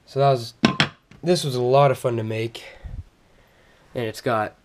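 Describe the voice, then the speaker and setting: A young man with a stuffed-up cold voice, casually showing off his things in a halting home vlog full of fillers. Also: medium pitch, steady tone, a little mellow. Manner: halting, many fillers and restarts. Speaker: vlogger. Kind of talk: casual show-and-tell vlog. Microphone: action camera on a tripod.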